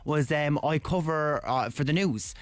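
A man's voice: fairly high pitch, measured pace, no room sound. A man with a Cork Irish accent, a radio newsreader chatting as a guest in a loose, rambling way with many fillers and restarts. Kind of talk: casual radio chat.

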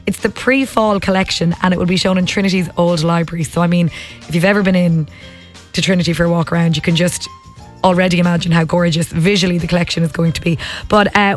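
Gushing Irish woman radio presenter. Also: medium pitch. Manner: enthusiastic, gushing, laughs easily. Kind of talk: chatty lunchtime radio talk.

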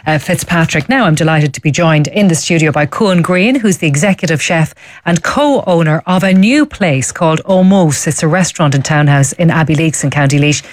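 A woman's voice: low voice, normal speed, dry recording. A woman hosting a studio radio interview, asking conversational questions. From Ireland. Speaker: radio host.

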